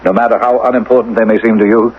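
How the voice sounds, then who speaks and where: An actor playing a guarded husband in a futuristic radio drama, speaking with dry, wary formality. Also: male voice, lowish voice, flat delivery, very fast, a little hiss or hum, natural voice. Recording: tinny, narrow old radio recording.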